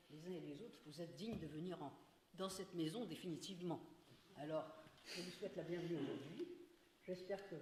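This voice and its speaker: Woman giving a halting formal welcome. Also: lowish voice, darkish tone. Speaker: academy secretary welcoming guests. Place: large ceremonial hall. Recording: echoey hall with faint noise.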